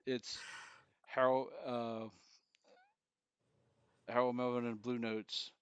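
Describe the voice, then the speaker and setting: A man's voice: mid-range voice, level tone, slow, clear, resonant tone, no room sound, muffled recording. A man in his forties co-hosting a podcast, chatting casually with a joking, profane manner. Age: 40s. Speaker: podcast co-host. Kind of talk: casual chat.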